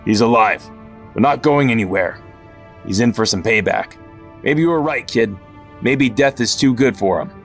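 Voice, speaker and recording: A man's voice: medium pitch, lively intonation, normal speed, faint music behind, lightly acted. An actor playing a gritty, guarded teenage male resistance fighter in an audio drama. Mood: grim.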